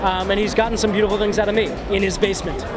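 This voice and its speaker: A woman with a mid-pitched voice speaks quickly in an event interview. Her manner is wry and droll, and loud music plays through the crowded room. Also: fairly even intonation, a loud crowd. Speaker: actor interviewed at exhibit. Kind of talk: casual interview banter.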